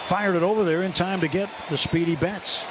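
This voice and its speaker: A man's voice: high voice, steady tone, brisk. A man co-commentating a baseball game on the radio in a conversational style. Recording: clearly noisy stadium broadcast. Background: stadium noise.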